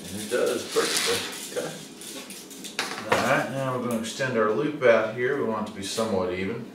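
A man's voice: lowish voice, moderate pace. A middle-aged American man giving a casual hands-on demonstration in a folksy, chatty way. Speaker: host. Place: indoor home workshop and garage. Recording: echoey room, distant mic, faint noise.

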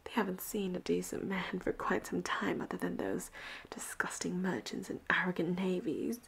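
A woman playing a flirty, possessive pirate captain in a roleplay audio drama, with a breathy voice that often drops into whispers and teasing endearments. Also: lowish voice, fairly even intonation, normal speed, full character voice. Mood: possessive, affectionate.

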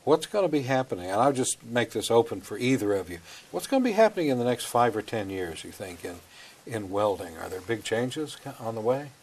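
A man's voice: mid-range voice, sing-song, a little fast, a little mellow. Older man hosting a TV studio interview. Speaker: host. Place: small TV studio.

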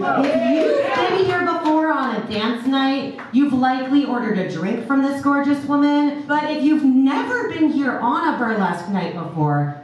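A woman's voice: mid-range voice, a little mellow. A woman in her thirties hosting a burlesque show, teasing the crowd as she introduces each act. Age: 30s. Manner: playful, teasing crowd work. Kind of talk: emcee banter introducing acts. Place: brick-walled club stage, indoors. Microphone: handheld mic, recorded by far camera. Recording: echoey club from far off, with background noise.

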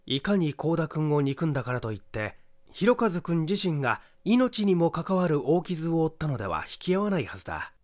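A man's voice: on the high side, very animated, a little fast, a little mellow. A male audiobook reader narrates a mystery story. It is scripted storytelling, read aloud by one man. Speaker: audiobook reader, stage actor.

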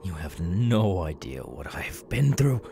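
A man playing a young, unhinged masked serial killer in an ASMR audio roleplay, creaky and halting, muttering with erratic, twitchy menace. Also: deep voice, sing-song, background noise, exaggerated voice. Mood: confiding, troubled. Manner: halting, muttering, sudden tics and hoots.

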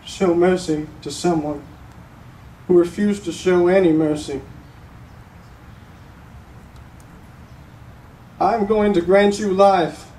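Student guy playing a grieving dad speaking in court, solemn n halting, mellow, noisy far-off recording. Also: sits high, reverberant. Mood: stern, restrained. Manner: slow, solemn, halting with heavy pauses. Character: grieving middle-aged father addressing a court, solemn, resolute. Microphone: distant camera microphone.

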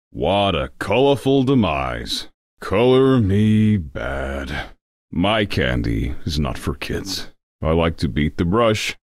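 Grumpy game unicorn, sardonic, theatrical, very slow delivery, swooping pitch. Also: male voice, dry recording, studio quality, heavily acted. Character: grumpy, sarcastic male unicorn candy-shop worker. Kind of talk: video game character voice lines.

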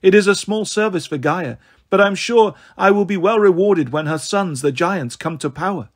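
A man acts out a cold snow goddess from a fantasy storybook. The voice sits high and stays soft, gentle and purring. She is haughty and condescending, taunting with cruel, silky smugness. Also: normal speed. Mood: smug, patient. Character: beautiful cold snow goddess, haughty, sadistically smug villainess.

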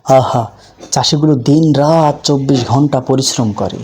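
A man's voice: medium pitch, steady tone, moderate pace, darkish tone, lightly acted. A man voicing an earnest, hopeful poor street vendor who thinks aloud in a folk tale cartoon. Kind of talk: cartoon voice acting.

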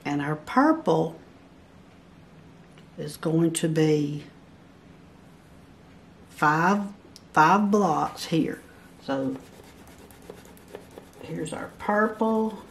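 A woman's voice: sits low, extremely slow, vocal fry, pauses often, slight background noise. A woman giving a step-by-step barn quilt pattern tutorial at a table, patiently counting blocks aloud. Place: at a table over a paper pattern, indoors.

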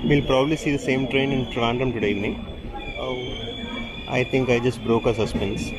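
A man's voice: medium pitch, steady tone, brisk. A man giving casual, chatty commentary about the trains he passes while riding in a train, filmed on a handheld camera. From India. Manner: chatty, pointing things out with fillers. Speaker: train travel vlogger. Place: on a moving train by an open door. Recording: train noise behind.

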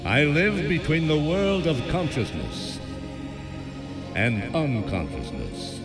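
An actor playing a solemn, commanding, otherworldly divine being in a dubbed nineties video game. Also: male voice, on the high side, sing-song, slow. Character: otherworldly masked divine being from a dream realm. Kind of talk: English dub of a 1990s video game. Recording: long reverb over loud new-age music.

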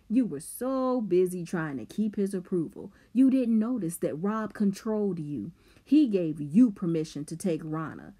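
Audiobook role: taunting, bitter teen boy played by a woman, emphatic, pitched up and mocking. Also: dark tone, husky. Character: taunting, bitter teenage boy sneering at his rivals.

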